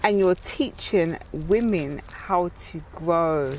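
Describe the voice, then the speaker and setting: A woman's voice: on the low side, takes it slowly, slight background noise, rough recording. A woman with a London accent hosting a casual interview, speaking expressively and repeating phrases for emphasis. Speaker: interview host.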